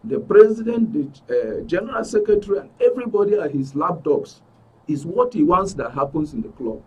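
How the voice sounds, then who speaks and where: Male interview guest, middle-aged, Nigerian accent. Slightly high, rough-edged voice, emphatic delivery, off-mic sound. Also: animated, a little fast, a little mellow, distant mic, low-quality recording. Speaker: studio guest, aggrieved club member. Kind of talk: spoken account in a broadcast interview. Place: radio and TV studio.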